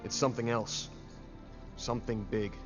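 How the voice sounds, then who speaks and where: A man playing a terse, dutiful young super-soldier leader with a disciplined manner in an animated sci-fi drama. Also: medium pitch, normal speed, lightly acted. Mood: focused. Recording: slightly dull, music behind.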